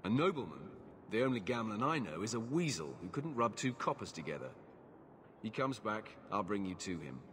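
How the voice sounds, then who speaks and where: An actor playing a harried, stern guard captain in a video game, gruff, brusque and authoritative. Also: male voice, lowish voice, big pitch swings, moderate pace, fairly bright tone, a little hiss or hum. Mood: sceptical.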